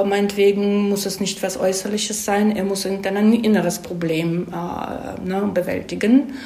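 A woman being interviewed as a screenwriter, explaining conversationally, haltingly and with digressions, often tagging questions onto her phrases. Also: medium pitch, darkish tone. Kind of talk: conversational explanation of storytelling craft. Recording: echoey room.

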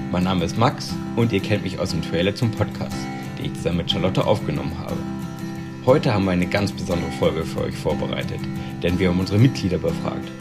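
A man hosting a podcast, reading an upbeat scripted introduction. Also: sits low. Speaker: podcast host.

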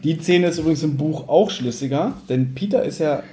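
Guy cohost, soft mellow tone but kinda higher pitched, just chatting on a podcast. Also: brisk, slight background noise. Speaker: podcast co-host. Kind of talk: casual discussion of an audio drama episode.

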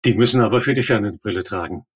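An older man with a low, husky voice, speaking as an expert guest. He explains things calmly and plainly, step by step, in an interview setting. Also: very fast. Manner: calm, explanatory, matter-of-fact. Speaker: ophthalmologist guest.

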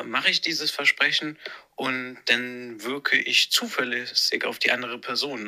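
A man's voice: medium pitch, bright, forceful tone. A man leaving an informal voice message, musing aloud in a reflective, questioning way. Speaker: listener sending a voice message.

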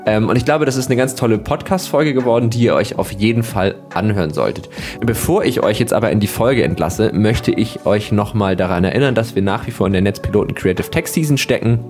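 Guy hosting a podcast, kinda low voice, chatty and excited, lots of ums, faint music behind. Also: a little fast. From northern Germany. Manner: chatty, enthusiastic, many fillers. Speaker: podcast host. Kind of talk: casual podcast chat.

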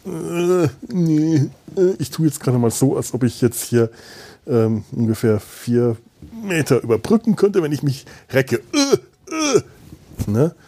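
A man hosting a solo podcast in a casual, rambling style, halting and interrupting himself with repeated words, with wry, self-deprecating humor. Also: on the high side, sing-song, dark tone. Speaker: podcast host.

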